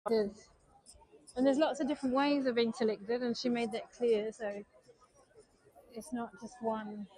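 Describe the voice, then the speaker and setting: A woman's voice: a little high, steady tone, unhurried, darkish tone, slightly rough, no echo, poor audio. A woman with a New Zealand accent chatting to her viewers on a live stream as she walks through city streets, sounding subdued and disappointed. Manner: talks to viewers while walking, subdued and disappointed. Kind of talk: casual live-stream chat while walking. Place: city streets outdoors at night.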